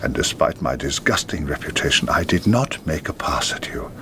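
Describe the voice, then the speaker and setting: A man's voice: a bass voice, very animated, moderate pace, noisy background. An actor playing a wry, self-mocking, worldly man in a film drama who asks his questions in a dry, ironic way.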